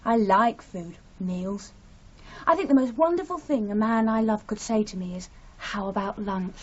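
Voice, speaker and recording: A woman's voice: medium pitch, normal speed, natural voice. An actress playing a quirky, self-dramatising female novelist in a radio drama, airy and affected. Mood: musing. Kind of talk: 1980s British radio drama acting. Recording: slightly dull archive radio recording.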